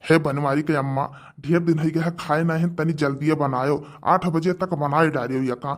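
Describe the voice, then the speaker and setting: Man playing a bossy old village husband, highish voice. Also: fairly even intonation, moderate pace, close to an everyday voice. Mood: insistent. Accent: Awadhi. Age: older. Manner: insistent, ordering. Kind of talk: homemade cartoon dialogue in rural dialect.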